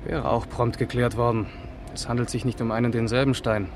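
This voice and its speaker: An actor playing a shrewd, clipped, ironic police investigator in a 1970s German radio crime drama. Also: male voice, medium pitch, little pitch movement, fairly quick, lightly acted. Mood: skeptical, sardonic. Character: dry, shrewd male police investigator, senior officer. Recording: clear car noise behind.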